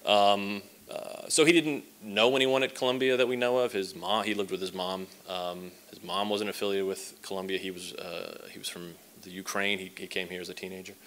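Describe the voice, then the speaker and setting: A man's voice: deep voice, steady tone, moderate pace, hardly any pauses, fairly bright tone. A man in his forties giving a conversational talk at a symposium, with many fillers and restarts, speaking from a distance to a stand microphone in a large lecture hall. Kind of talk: conference talk. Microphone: stand mic set at a distance.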